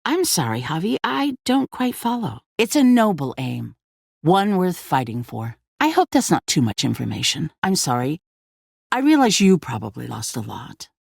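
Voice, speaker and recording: An actress playing a poised, commanding woman who leads a survivor settlement in a video game, speaking in a measured, courteous but firm way. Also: on the low side, vocal fry, no room sound, clean studio sound, heavily acted. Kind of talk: dramatic video game dialogue.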